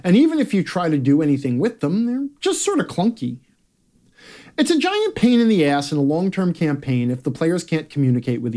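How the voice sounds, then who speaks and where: Male, brash sarcastic columnist reading aloud, very lively swooping intonation, theatrical stresses. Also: fairly high pitch. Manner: brash, profane. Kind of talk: scripted comedic rant read aloud.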